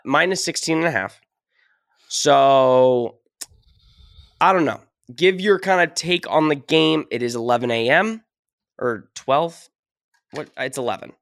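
A man's voice: mid-range voice, fairly bright tone, dry recording, studio quality. A man hosting a casual sports podcast, ranting with emphasis, a little sick and losing his voice. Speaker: podcast host.

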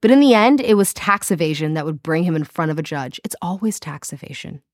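A woman's voice: low voice, a little fast, dry recording, clean studio sound. A South African woman co-hosting a podcast, telling history in casual chat with lots of laughter and playful voices. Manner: giggly, animated storytelling with silly voices. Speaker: podcast co-host.

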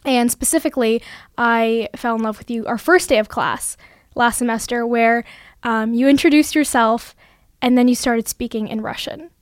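A woman's voice: on the high side, normal speed, dry recording, studio quality. A woman in her twenties hosting a podcast, talking in an earnest, effusive casual way with lots of fillers. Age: 20s. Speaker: podcast host and student.

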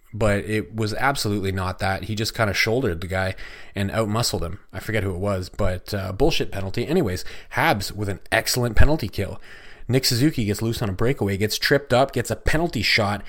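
A man hosting a solo hockey podcast, recapping a game in an excitable, chatty way with lots of swearing, his voice going hoarse. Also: mid-range voice. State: losing his voice. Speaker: podcast host.

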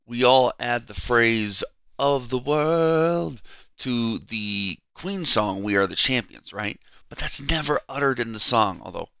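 Gravelly, congested man hosting a podcast. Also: medium pitch, no echo, highs cut off. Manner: conversational, animated, with restarts. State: head cold, nasal and froggy. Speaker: podcast host. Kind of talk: solo podcast monologue.

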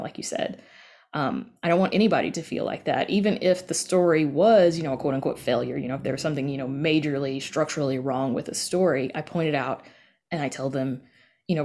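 Low-voiced woman chatting as interview guest. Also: darkish tone. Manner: chatty, frequent "you know" asides. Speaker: interview guest, podcast creator and story editor. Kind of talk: casual interview talk.

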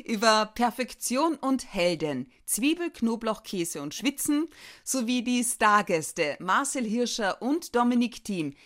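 A woman's voice: on the high side, sing-song, a little slow, fairly bright tone. A woman hosting an interview, welcoming her guest in a friendly presenter tone. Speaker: interview host.

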